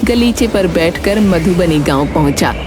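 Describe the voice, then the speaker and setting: A woman's voice: lowish voice, animated, a little slow. A woman narrating a scripted fairy tale as a storyteller. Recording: music behind the voice. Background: background music.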